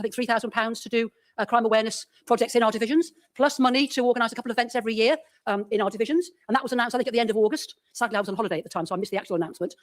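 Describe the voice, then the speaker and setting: A woman councillor puts a polite question to a council meeting, reading from a document. She talks very fast in a fairly high voice.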